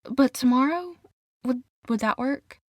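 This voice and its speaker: Woman acting an anxious, higher-pitched young woman. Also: measured pace, dry recording. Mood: flustered, evasive. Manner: stammering, hesitant. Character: anxious young woman, polite, flustered and evasive. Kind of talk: audio drama dialogue.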